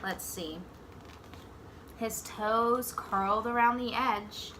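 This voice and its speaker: Bright-voiced woman reading aloud to children. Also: fairly high pitch, a little hiss or hum. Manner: pauses to ask simple questions and echo the answers. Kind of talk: expressive picture-book read-aloud with questions to children.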